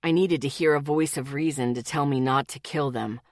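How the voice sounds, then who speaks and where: A woman voicing a husky, grumbling young man who teases his girlfriend in an audiobook. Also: a little fast, a little mellow, no room sound, clean studio sound. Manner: grumbling, low and teasing. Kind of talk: audiobook character voice.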